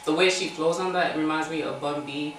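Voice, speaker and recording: A woman's voice: deep voice, fairly even intonation, fairly quick, a crowd in the background. An American woman doing a casual music interview, slangy and playfully teasing. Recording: echoey, distant mic, faint noise.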